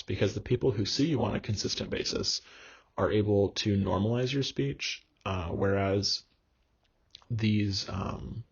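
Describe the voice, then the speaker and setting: A young man giving a recorded course lecture, explaining in a measured way with frequent ums and uhs. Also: fairly low pitch, breathy edge. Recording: dull lo-fi recording.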